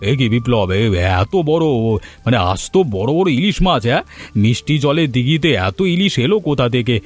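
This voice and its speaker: A man voicing a wise, modest middle-aged village benefactor in a cartoon, speaking gently and reasoning things through. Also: medium pitch, lively intonation, fairly quick, faint music behind, lightly acted. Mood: surprised. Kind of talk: cartoon voice acting.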